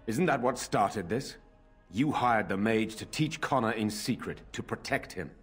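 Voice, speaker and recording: A man playing a nobleman uncle in a fantasy video game, with a rough, husky voice. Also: fairly low pitch, expressive, unhurried, faint music behind. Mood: stern, reasoning. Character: nobleman uncle, freed from a demon's mind control.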